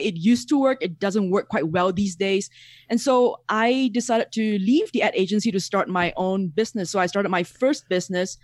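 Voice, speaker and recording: Singaporean guy in his 30s, animated podcast guest, laughs a lot, dry close sound on a video call. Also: high-pitched, no echo. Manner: animated, laughs often, repeats words. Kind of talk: casual interview chat.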